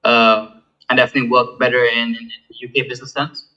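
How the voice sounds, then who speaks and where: A young man in his twenties from Malaysia, a guest in a casual interview, talking in a halting way with many fillers and restarts. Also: mid-range voice, level tone, bright, forceful tone, highs cut off. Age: 20s. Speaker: guest, student founder.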